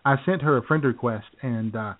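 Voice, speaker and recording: A man's voice: mid-range voice, moderate pace. A man co-hosting a podcast, chatting casually, joking and sarcastic, laughing often. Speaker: podcast co-host. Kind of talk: casual chat. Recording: tinny phone-like sound.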